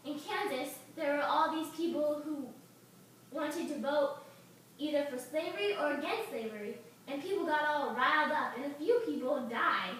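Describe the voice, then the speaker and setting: A woman's voice: high-pitched, moderate pace, a bit bright. A young woman acting out an amateur puppet show as a student. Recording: echoey room, distant mic, faint noise.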